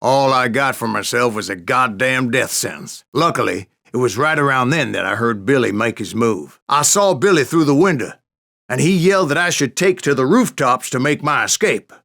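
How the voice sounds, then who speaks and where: An actor playing an old Wild West bounty hunter who tells boastful tall tales in a folksy American Western drawl for a video game. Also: male voice, mid-range voice, creaky, a bit bright, dry recording, studio quality. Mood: gritty, aggrieved. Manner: folksy, salty, cussing storyteller. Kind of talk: theatrical storytelling narration for a western video game.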